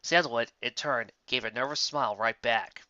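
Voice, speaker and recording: Story narrator with casual asides: bright, forward voice, animated reading, dry and slightly dull home recording. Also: medium pitch, moderate pace, no echo. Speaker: narrator reading fan fiction aloud.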